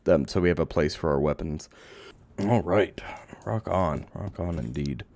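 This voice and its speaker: A man giving casual gameplay commentary, thinking aloud in a halting, rambling way and swearing now and then. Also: low voice, gravelly, a little mellow, no echo. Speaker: game streamer. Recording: audible background noise, clicks.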